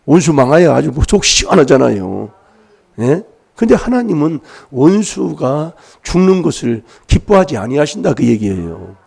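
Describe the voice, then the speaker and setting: A man's voice: fairly high pitch, very animated, moderate pace, creaky, a little mellow, breathy edge. A man preaching an emphatic sermon in a church, prodding his listeners with rhetorical questions while he has a cold. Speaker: pastor.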